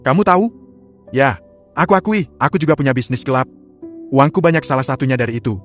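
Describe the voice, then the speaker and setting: Man doing a ruthless older crime boss, flat curt delivery, slightly muffled. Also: medium pitch, quick pace, voice pushed up, close to an everyday voice. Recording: slightly muffled, soft music behind.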